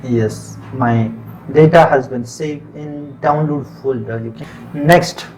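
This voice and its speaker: A man with a Nepali accent, a native Nepali speaker, talking through a software tutorial in plain, unhurried step-by-step instructions. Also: medium pitch, soft background music, low-quality recording. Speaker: tutorial presenter. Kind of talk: screen-recorded software tutorial.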